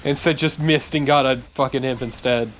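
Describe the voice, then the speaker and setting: Mid-pitched man, casual sweary game commentary. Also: fairly even intonation, normal speed, a bit bright, slight background noise. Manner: joking, sweary. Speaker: let's play commentator.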